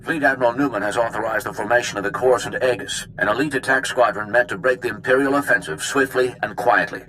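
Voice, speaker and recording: A man's voice: mid-range voice, fast talker, bright, forceful tone, natural voice, low-quality recording. A man playing an earnest navy flight commander in a video game, giving a formal military briefing. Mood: earnest, official. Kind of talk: video game character acting. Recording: faint noise behind the voice.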